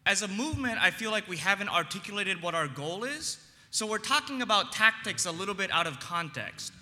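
Earnest man with a big, bright voice. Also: high voice, sing-song, long reverb tail. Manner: earnest, frequent ums, emphatic slowed phrases. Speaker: panel speaker. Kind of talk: impassioned public debate speech.

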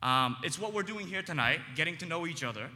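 Man, big bright voice, earnest panel debater with many ums, long hall reverb. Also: on the high side, lively intonation. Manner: earnest, frequent ums, emphatic slowed phrases. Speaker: panel speaker. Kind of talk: impassioned public debate speech.

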